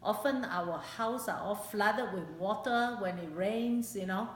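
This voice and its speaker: A woman in her late fifties with a Singaporean accent recalls her life in a personal interview, speaking haltingly and repeating phrases for emphasis. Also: medium pitch. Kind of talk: personal oral history interview. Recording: echoey room, background hiss.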